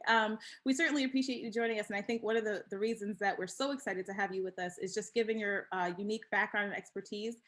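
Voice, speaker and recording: A woman's voice: medium pitch, steady tone, fast talker, few pauses, fairly bright tone. A woman hosts and moderates a webinar conversation in a polished, welcoming, scripted manner. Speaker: host and moderator.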